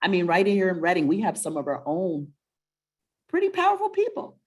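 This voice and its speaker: A woman co-hosting a children's story webinar, chatting casually in a warm, encouraging, motivational way and often checking in with questions. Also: fairly low pitch, huge pitch swings, fairly quick, breathy edge.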